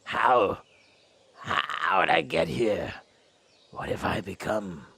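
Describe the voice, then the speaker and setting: Haughty dinosaur robot warrior, low gravelly voice, slow dramatic swings, solemn, radio drama. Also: male voice, very animated, pushed and bright, no room sound, heavily acted. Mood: grim, bewildered. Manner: haughty, solemn, disdainful declarations. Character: proud, gruff velociraptor robot warrior of honor. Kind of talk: animated-series radio drama acting.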